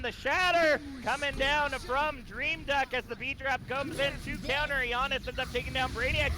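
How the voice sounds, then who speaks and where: A man casting esports play-by-play, calling fights and players in quick succession. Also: very high voice. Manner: rapid play-by-play, rising excitement in team fights. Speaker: play-by-play caster.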